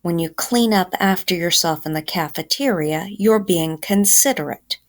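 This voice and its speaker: A woman's voice: on the low side, normal speed. A woman teacher giving children a reading lesson, explaining simply and instructively.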